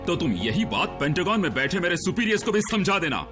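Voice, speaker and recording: A man's voice: high-pitched, big pitch swings, a little fast, music in the background. A man voicing a bossy, irritable government agent in a dubbed cartoon, blustering and indignant. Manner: bossy, indignant, loud-mouthed.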